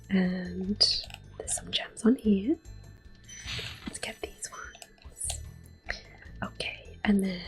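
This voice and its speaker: Slow whispering woman with vocal fry. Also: mid-range voice, poor audio. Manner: whispered, unhurried, gentle. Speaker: ASMR gaming host. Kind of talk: soft-spoken ASMR game commentary. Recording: soft game music in background.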